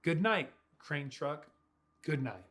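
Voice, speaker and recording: A young man reads a picture book to children. His voice sits high for a man and swings widely in pitch. He takes his time, stretching words out in a playful, expressive style. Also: takes it slowly. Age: young adult. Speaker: pastor reading to children. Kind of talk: animated children's storybook read-aloud. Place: small furnished room indoors.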